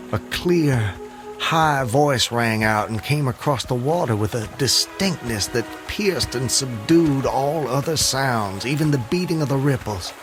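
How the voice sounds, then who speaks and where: A man's voice: medium pitch, lightly acted. An actor playing an earnest, haunted man who escaped his hanging, recounting it in formal, vivid language for an audio drama. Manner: literary, vivid, formal narration. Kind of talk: audio drama monologue and dialogue. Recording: music under the voice. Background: music.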